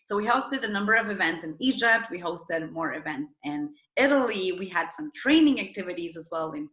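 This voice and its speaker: A woman's voice: lowish voice, animated, a little fast, a bit bright. A woman from Argentina, telling her story enthusiastically and emphatically as an interview guest, recorded in a roomy, echoing space. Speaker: interview guest, startup founder and former journalist.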